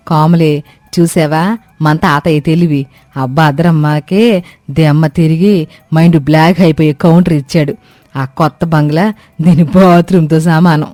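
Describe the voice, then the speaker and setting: A woman voicing a loud, dramatic older village mother-in-law who scolds and complains in rustic speech for a cartoon story. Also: low voice, fairly even intonation, unhurried, long pauses, dry recording, soft background music. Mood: amazed. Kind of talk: animated moral story cartoon dubbing.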